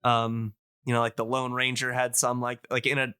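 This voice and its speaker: A man's voice: medium pitch, monotone, moderate pace, pushed and bright, clean tone, dry recording, studio quality. A man hosting a casual podcast, explaining things in a rambling, nerdy, enthusiast way. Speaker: podcast host.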